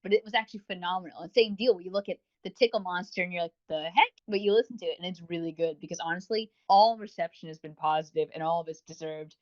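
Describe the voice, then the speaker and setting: A man co-hosting a casual review podcast, chatty and quick to give his opinions. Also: high voice, lo-fi sound. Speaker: podcast co-host reviewing audio roleplays.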